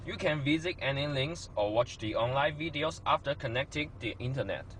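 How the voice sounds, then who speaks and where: A man's voice: mid-range voice, expressive, fairly quick, a bit bright. Man narrating a product demo, faint noise. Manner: non-native English phrasing. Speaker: product demonstrator.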